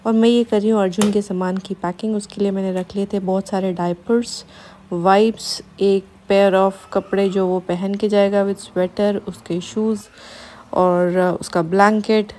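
A woman's voice: mid-range voice, slight background noise. A woman, narrating a family vlog in a casual, chatty style. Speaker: family vlogger. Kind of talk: casual vlog narration mixing Hindi and English.

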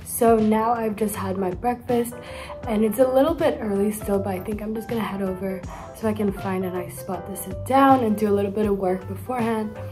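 A woman's voice: medium pitch, steady tone, brisk, a little mellow. Upbeat young woman vlogging, music underneath. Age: young adult. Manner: chatty, upbeat, lots of "so yeah" and "like". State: recovering from a cold, slightly sore throat. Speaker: student vlogger. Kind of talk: casual day-in-the-life vlog. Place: home room with blinds and a bathroom. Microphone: phone or camera at arm's length.

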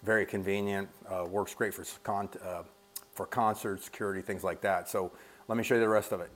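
Deep-voiced American man reviewing a product. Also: steady tone, brisk. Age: middle-aged. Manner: conversational, explanatory. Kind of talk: casual unscripted product review. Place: open-plan dining room at home, indoors.

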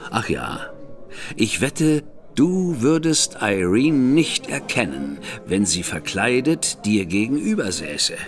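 An actor playing a composed, shrewd older brother who lays out plans in a measured, wry manner. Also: male voice, medium pitch, very animated. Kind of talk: German audio drama acting. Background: faint background score.

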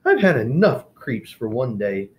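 A man voicing a proud, sharp-tongued young female cockroach who speaks with dry, icy politeness. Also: medium pitch, big pitch swings, unhurried, slight background noise.

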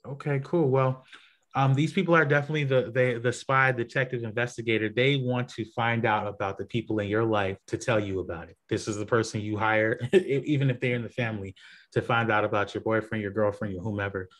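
A man's voice: mid-range voice, steady tone, brisk, fairly bright tone. A man leading an online group session on astrology, talking casually with many fillers and restarts. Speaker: astrology presenter.

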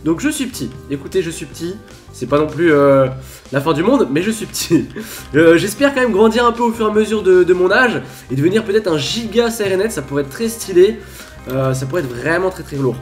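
Gaming host, male, high voice, a bit blocked up, chatty and playful. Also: lively intonation, a little fast, faint music behind. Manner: chatty, playful, bursts into laughing shouts. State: stuffy with a cold. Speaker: gaming YouTuber. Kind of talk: excited Minecraft commentary.

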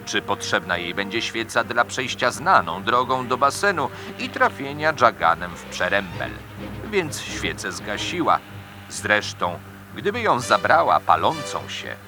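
Slow, low-voiced man narrating dramatic true crime. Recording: music bed behind the voice. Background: music.

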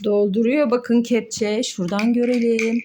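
A woman's voice: a little high, little pitch movement, measured pace, dark tone. A woman, a mother, narrating toy play for children, encouraging and exclamatory as she talks to her small child and to viewers. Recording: light background noise with clicks.

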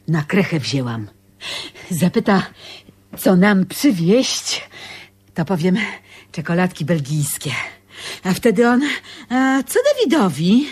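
An actress in a Polish radio drama playing a nostalgic, sharp-tongued older seamstress who is wistful and weary. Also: female voice, fairly low pitch, slightly rough, exaggerated voice. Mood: wry.

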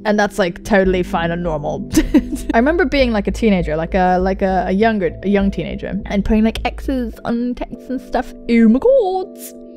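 A young woman hosting a let's play, reading a visual novel aloud and commenting on it with excitable, sweary exclamations. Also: mid-range voice, normal speed. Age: young adult. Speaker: gaming video host. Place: indoor room at home, on webcam. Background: game soundtrack music.

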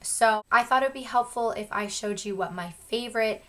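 A young woman reviewing her favorite makeup products with a hoarse, croaky voice, talking with gushing enthusiasm to a camera in her bedroom. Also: fairly high pitch, a little fast. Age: young adult. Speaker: beauty vlogger. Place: bedroom at home. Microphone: camera in front at close range.

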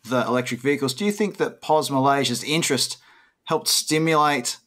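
A man in his fifties interviewing a guest, putting questions in a measured, conversational way. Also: medium pitch, fairly bright tone. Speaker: podcast interviewer. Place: bright room, indoors.

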